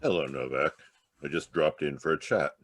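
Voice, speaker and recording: An actor playing a smug, needling homicide inspector in 1940s radio crime drama style, taunting and sardonic. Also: male voice, extremely low pitch, normal speed, dry recording. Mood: falsely casual.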